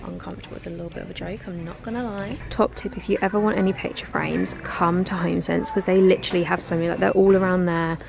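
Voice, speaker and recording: A woman's voice: on the low side, fairly even intonation, fast, vocal fry, few pauses. A young woman, chatting casually to camera as she browses a large home goods store with a handheld camera. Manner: chatty, giggly, talking to camera while browsing. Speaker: vlogger. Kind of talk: casual shopping vlog chat.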